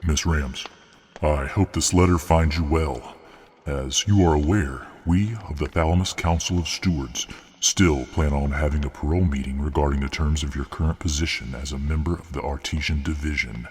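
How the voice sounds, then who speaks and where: Man playing a very deep, husky council steward. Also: a little mellow. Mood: formal, stern. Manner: formal, measured, official. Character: formal, stern male council steward reading his official letter. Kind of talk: scripted letter voice-over in fantasy audio drama. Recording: long cavernous hall reverb.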